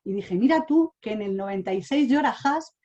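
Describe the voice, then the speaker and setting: A woman's voice: fairly high pitch, lively intonation, a little fast, mellow, lo-fi sound. Hesitant woman in her forties. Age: 40s. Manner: hesitant, many fillers and restarts. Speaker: speaker. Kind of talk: online talk sharing personal experience. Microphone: online talk mic.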